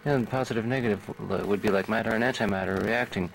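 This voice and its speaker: A man acting a weary, bewildered Jedi knight in an amateur audio drama, talking haltingly and muttering to himself. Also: on the low side, gravelly, low-quality recording. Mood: musing. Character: weary, bewildered Jedi knight wandering the afterlife, meeting his double. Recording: old cassette tape with music.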